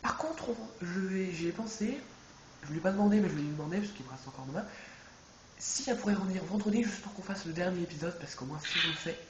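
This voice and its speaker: A man with a head cold, chatting casually and rambling as he plays a video game, often correcting himself. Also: high-pitched. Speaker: let's play gamer. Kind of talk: casual gameplay commentary. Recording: echoey room with background noise.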